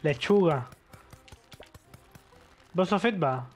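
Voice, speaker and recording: A man's voice: fairly high pitch, animated, extremely slow, a little mellow. A young man with a Salvadoran accent bantering casually while gaming, bossy and teasing. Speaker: gamer. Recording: game music behind voice. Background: video game music.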